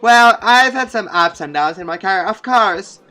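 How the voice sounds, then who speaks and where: A man's voice: high-pitched, expressive, moderate pace, pushed and bright. A man voicing an earnest, idealistic woman teacher in a visual novel, preachy in manner, with music behind.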